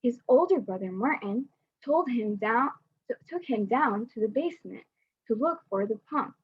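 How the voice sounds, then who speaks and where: A woman's voice: a little high, lively intonation, moderate pace, husky. A young woman, a student volunteer, reading a children's picture book aloud over a video call, hesitant with fillers when chatting. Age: young adult. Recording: dull lo-fi video call audio.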